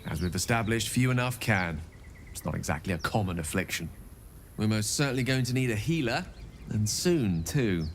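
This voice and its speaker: An actor voicing a genial, wordy wizard in a video game, speaking with ornate courtesy and wry humor. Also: male voice, lowish voice, expressive, normal speed, a little hiss or hum. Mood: earnest. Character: genial, verbose male human wizard, eloquent and wry. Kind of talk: video game dialogue acting.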